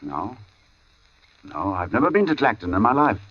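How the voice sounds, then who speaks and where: An actor playing an uneasy artist who gravely recounts an eerie encounter in a 1940s-style radio drama. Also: male voice, fairly low pitch, sing-song, normal speed. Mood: shaken, hesitant. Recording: dull old radio recording with music.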